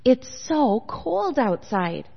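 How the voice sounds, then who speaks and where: A woman's voice: fairly high pitch, sing-song, slow, gravelly, slightly rough, dull audio, exaggerated voice. A woman voicing a small, polite, eager wren in a children's storybook, recorded in an echoey hall. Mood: pleading.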